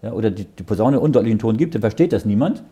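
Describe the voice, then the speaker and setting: Man explaining Bible verses, dark husky voice. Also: on the low side, lively intonation, racing, highs cut off. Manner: reads verses aloud, then explains them in plain words; often checks understanding with "ja?". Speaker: Bible teacher.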